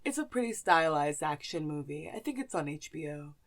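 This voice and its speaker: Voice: mid-range voice, very animated, brisk. A woman podcast host chatting casually and informally about films and actors, with some slang.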